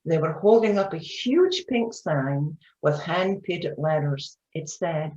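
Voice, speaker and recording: Roomy, low-voiced woman reading a storybook. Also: expressive, slow, mellow. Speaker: reader in a readers theatre. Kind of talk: children's storybook narration. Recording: roomy, dull lo-fi sound.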